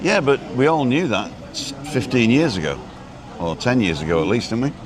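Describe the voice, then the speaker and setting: A man's voice: medium pitch, sing-song, normal speed. An English man in his sixties hosting a casual live stream outdoors, chatting with lots of fillers. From England. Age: 60s. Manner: chatty, rambling, with frequent uh fillers. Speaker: host of a live walking stream. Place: outdoors on a busy street. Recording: loud crowd noise.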